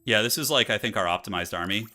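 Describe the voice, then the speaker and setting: A man with a fairly low, bright and forward voice talks through a strategy game as he plays. He is slangy and colloquial, thinking aloud in a casual streaming style, with music playing faintly behind him. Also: fast talker. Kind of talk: casual live game commentary.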